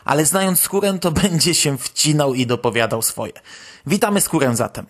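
A man's voice: on the high side, lively intonation, normal speed, fairly bright tone. A Polish man hosting a podcast, commenting on news in a conversational, wry way. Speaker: podcast host.

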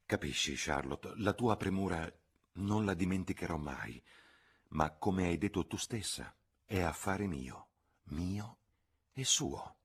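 A man's voice: deep voice, lively intonation, slow, breathy edge, heavily acted. A man voicing a reserved, troubled young woman in an audiobook reading. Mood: resolute. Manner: grave, hesitant, guarded.

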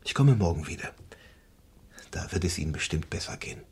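Calm police commissioner, deep voice, breathy and husky, measured, considerate, old radio play. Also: male voice, in a whisper, full character voice. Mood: reassuring. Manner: measured, soothing, matter-of-fact. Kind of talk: 1970s German radio crime drama acting.

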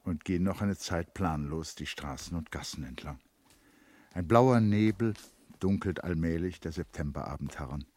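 A man narrating a radio play in a measured, literary storytelling style. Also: deep voice, darkish tone, no room sound.

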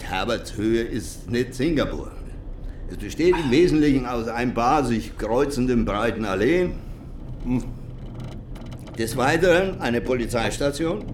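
An actor playing a proud, patriotic German ship's captain in a radio play, speaking formally and declaiming. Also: male voice, mid-range voice, a little hiss or hum, lightly acted.

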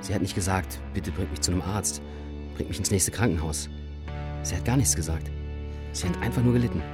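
A man's voice: deep voice, fairly quick, music in the background, natural voice. An actor playing a troubled, defensive young man who recounts past events reflectively in a radio drama. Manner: reflective, confessional. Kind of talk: interview-like radio drama narration.

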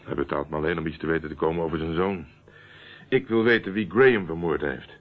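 An actor playing a dogged, self-assured private investigator in a radio drama, matter-of-fact and clipped, on a narrow old radio recording. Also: male voice, a bass voice, fast talker. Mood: subdued, pondering.

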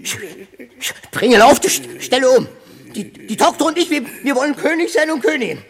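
An actor playing a pompous, blustering drunkard who would be king, husky and stammering, in a classic radio play. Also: extremely high pitch, animated, a little fast. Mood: boastful.

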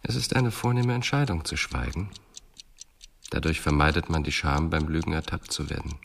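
A man playing an intense, quietly insistent police investigator with deliberate, measured speech in a radio crime drama. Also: rumbling low, gravelly, a little hiss or hum, full character voice. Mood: cool, insistent.

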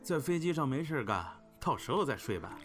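Actor as frank young man, expressive, over guitar. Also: medium pitch, a little fast, close to an everyday voice. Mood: tender. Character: devoted, direct young elder brother living abroad. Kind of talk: Chinese audio drama dialogue. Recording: soft guitar music behind the voice.

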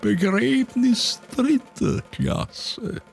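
A man's voice: extremely high pitch, extremely slow, creaky, heavily acted. A man playing a drowsy, self-pitying old emperor who sings in his sleep with a Viennese accent in a satirical radio play, over music. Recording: loud music under the voice.

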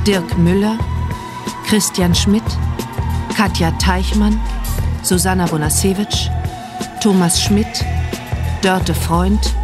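Slow, low-pitched woman announcer over music. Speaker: radio drama announcer. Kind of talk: scripted credits announcement.

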